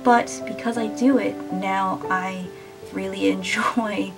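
A woman's voice: medium pitch, fairly even intonation, slow pace, over music. A woman in her twenties doing a casual children's picture-book read-aloud in a small room. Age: 20s.

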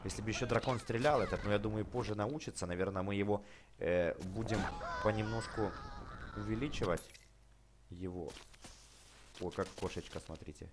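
A man giving excited, chatty game commentary for children, exclaiming, repeating words and addressing his viewers. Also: on the low side, normal speed, background noise. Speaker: gaming channel host.

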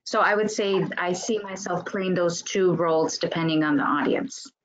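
A woman who works in human resources answers panel questions over a video call. Her voice is on the low side and the sound is a bit dull. She speaks thoughtfully, often restarting her sentences. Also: moderate pace, slight background noise.

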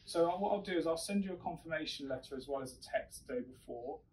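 A man's voice: a little high, fast, lightly acted. A man playing a friendly, considerate mental health clinic worker, speaking politely and reassuringly in a training film. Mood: polite, upbeat. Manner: polite, reassuring, checks back what he heard. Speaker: mental health team staff member. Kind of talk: acted training film, phone and reception talk. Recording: distant mic with faint room noise.

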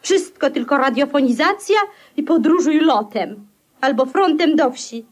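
A woman's voice: sits high, expressive, a little slow. An actress playing an affected, melodramatic lady aesthete in a 1950s radio comedy, lofty and lamenting. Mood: tragic, pretentious. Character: affected melodramatic female art lover, mournful aesthete.

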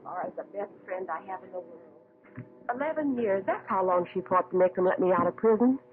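A woman's voice: lowish voice, animated, a little fast, slight background noise, natural voice. An actress playing a haughty, fretful American woman in her thirties, defensive under questioning, in an old-time radio drama. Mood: distraught, pleading. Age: 30s. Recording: muffled tinny vintage radio, faint music.

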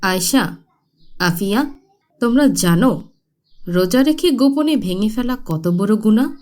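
A woman's voice: mid-range voice, expressive, measured pace, darkish tone, close to an everyday voice. A woman playing a pious poor village girl in a cartoon, earnest and preachy. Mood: admonishing.

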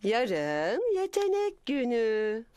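A woman's voice: a little high, huge pitch swings, extremely slow, darkish tone, no room sound, heavily acted. A woman voicing a kind, encouraging gazelle playgroup teacher in a children's cartoon, giving friendly instructions. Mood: kindly instructing.

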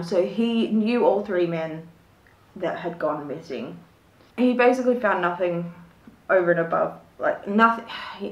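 A young woman tells a mystery story at her desk, chatty and rambling, with lots of "like" and "um". Her voice sits a little low and has a gravelly fry. The small room around her sounds echoey. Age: young adult. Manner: chatty, rambling, many fillers and likes. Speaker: true crime vlogger. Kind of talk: casual storytelling with reading aloud. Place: small home room with shelves of collectibles. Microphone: fixed camera at desk.

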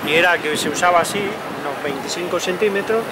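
Deadpan guy in his 30s rambling nonsense outside, slightly high voice, loud wind and traffic on the mic. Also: fast talker. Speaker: comedy show host. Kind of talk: absurd improvised comedy chat. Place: outdoors on a city street by a café terrace. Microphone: handheld camera close to the face. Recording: loud wind and street noise. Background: street traffic.

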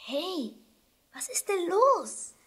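A woman's voice: extremely high pitch, sing-song, drawn-out words, exaggerated voice. A woman playing a worried young Italian boy, the innkeeper's son, in a German audio drama. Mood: curious.

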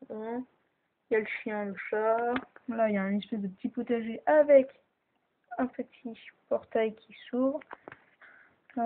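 A woman chatting casually with lots of fillers as she shows off a toy model at home, filmed on a handheld camera held close. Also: a little high. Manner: chatty, hesitant, many fillers. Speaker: toy builder showing a model. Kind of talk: casual show-and-tell narration. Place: indoors at home. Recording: tinny lo-fi camera audio, hissy.

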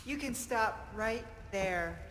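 A woman's voice: on the high side, lively intonation, slow pace. A woman playing a cool, commanding secret agent in a video game cutscene, controlled, sly and clipped. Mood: cool, teasing. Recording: long reverb with loud background noise.

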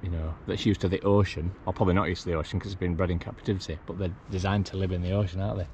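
A man's voice: sits low, level tone, racing, mellow. A man chatting casually to camera outdoors, rambling with lots of "you know". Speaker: vlogger. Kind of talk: casual outdoor vlog chat. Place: outdoors, kayak at sea then beach. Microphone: action camera. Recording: dull lo-fi camera audio with clear wind and water noise.

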